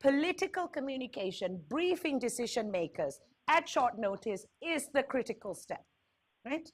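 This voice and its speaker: A woman's voice: high-pitched, very animated, moderate pace. A woman with a Sri Lankan accent presenting at a conference, candid and wry, stressing points with repetition and checking in with short tag questions. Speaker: health agency speaker, risk communication expert.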